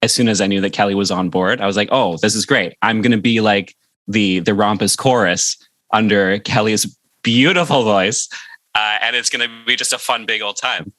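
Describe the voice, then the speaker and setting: Low, hesitant male composer. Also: steady tone, normal speed, a bit bright, no room sound. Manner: hesitant, full of fillers, friendly. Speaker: composer, guest. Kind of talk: casual interview chat.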